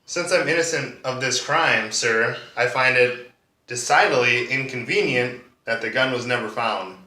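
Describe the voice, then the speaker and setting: A man playing a soft-spoken, bitter defendant giving measured, restrained testimony in a courtroom monologue. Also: mid-range voice, lightly acted. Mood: firm, measured. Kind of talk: monologue performance of a courtroom drama. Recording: roomy echo, distant microphone.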